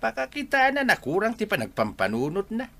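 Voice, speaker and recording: A man's voice: high voice, huge pitch swings, fairly quick, vocal fry, bright, forceful tone, full character voice. A man playing a disapproving villager in a radio drama. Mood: gossipy, disapproving. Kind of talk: Ilocano radio drama acting.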